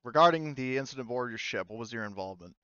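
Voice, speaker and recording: A man's voice: medium pitch, animated, rapid-fire, a bit bright, smooth, dry recording, dull audio, natural voice. A man voicing a formal, officious police inspector in a tabletop game, asking procedural questions. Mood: formal, probing.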